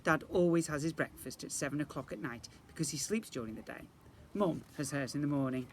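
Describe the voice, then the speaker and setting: A man's voice: on the high side, a little fast. A man narrating a children's storybook like an infant school teacher, expressively and directed at young listeners. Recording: faint background noise.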